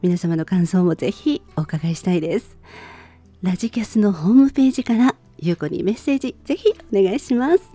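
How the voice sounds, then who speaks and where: A woman's voice: medium pitch, animated, fairly quick. A woman radio host speaking politely in a friendly, cheerful hosting and interview style. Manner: polite, bright-spirited.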